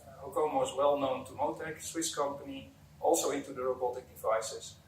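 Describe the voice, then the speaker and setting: A man's voice: mid-range voice, fairly even intonation, fairly quick. A man in his forties with a Dutch accent, giving unscripted answers as an executive being interviewed. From Netherlands. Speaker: company executive, interview guest. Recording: roomy, distant mic, faint background noise.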